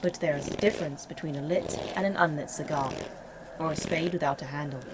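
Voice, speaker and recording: An actress playing a calm, precise facility A.I. in a sci-fi audio drama, speaking formally and deadpan with a dry, teasing edge. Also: female voice, low voice, fairly quick, very noisy, lightly acted. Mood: composed, dry. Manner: formal, deadpan, few contractions.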